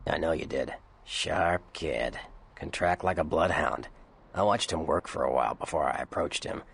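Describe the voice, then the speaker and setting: Gruff old-school vampire snitch for a game, super deep n gravelly, sarcastic. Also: male voice, normal speed, a bit bright, full character voice. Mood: sly, matter-of-fact. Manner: gruff, sardonic, slangy. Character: grizzled, cynical, foul-mouthed male vampire informant. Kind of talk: video game voice acting.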